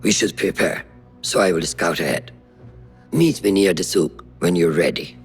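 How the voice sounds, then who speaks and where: An actor playing a calm, analytical member of a secret order, measured and serious, in a video game. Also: male voice, low-pitched, close to an everyday voice. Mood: sober. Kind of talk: video game cutscene acting. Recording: music behind the voice.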